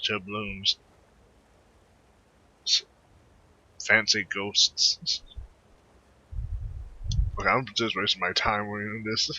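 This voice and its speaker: Guy playing a game and muttering along, kinda low but really bright voice, trips on words, muffled cheap mic. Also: slightly rough. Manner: halting, rambling, trips over words. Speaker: gamer recording a playthrough. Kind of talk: casual gameplay commentary, muttering and reading text aloud.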